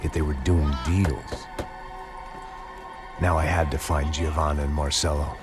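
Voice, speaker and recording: An American man playing a weary, cynical middle-aged ex-cop antihero, narrating in a deadpan, hard-boiled noir style for a video game. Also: extremely low pitch, measured pace. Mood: brooding, suspicious. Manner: deadpan, sardonic, world-weary. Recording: music playing behind the voice.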